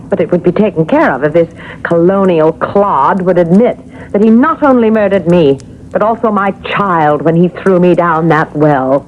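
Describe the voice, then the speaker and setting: A woman's voice: on the low side, normal speed, close to an everyday voice. An actress playing a reproachful, theatrical female ghost of an eighteenth-century woman in a 1970s radio drama. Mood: accusing. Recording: muffled, noisy old radio broadcast.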